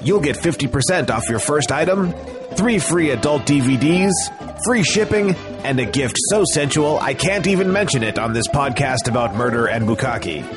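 A man doing a mock-cheerful sponsor read like an over-bright pitchman, with deadpan crude jokes. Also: moderate pace. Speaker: ad announcer. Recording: ad read over loud music bed.

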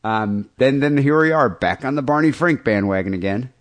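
A male podcast host with a mid-pitched, very lively voice. He banters casually and irreverently, slipping into mock apology as he moves the show along. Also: normal speed.

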